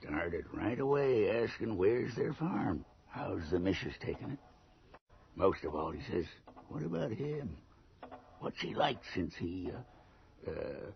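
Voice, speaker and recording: An actor playing a sardonic, evasive small-town local with a dry, folksy manner in a radio drama. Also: male voice, sits low, sing-song, a little slow, vocal fry, full character voice. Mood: agitated, gossipy. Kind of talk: 1980s radio drama acting. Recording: muffled, hissy old radio recording.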